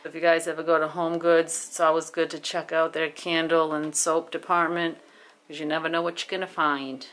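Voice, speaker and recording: A woman's voice: low voice, level tone, normal speed, a bit bright. A woman vlogger chatting enthusiastically as she shows off food, a farm market and shopping finds. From New England. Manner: chatty, enthusiastic show-and-tell. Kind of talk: casual vlog narration. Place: farm market barn and home table.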